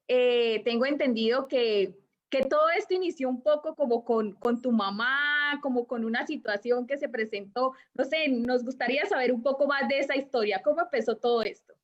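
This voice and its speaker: A woman hosting an interview asks her question haltingly, restarting again and again before she gets there. Her voice sits a little high, and the sound is dull and lo-fi.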